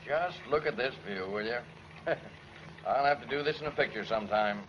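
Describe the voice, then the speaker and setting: An actor playing a breezy, oblivious passing sightseer in a 1940s radio drama, chatty in manner. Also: male voice, medium pitch, normal speed, natural voice. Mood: amused, grumbling. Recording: noisy, muffled 1940s radio recording.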